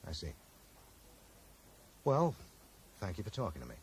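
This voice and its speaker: Lively-toned, earnest amateur investigator. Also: male voice, deep voice, a little slow, exaggerated voice. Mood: apologetic. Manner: tactful but probing. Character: earnest, polite, persistent man investigating a friend's mystery. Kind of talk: 1980s radio drama acting. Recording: noisy 1980s radio broadcast recording.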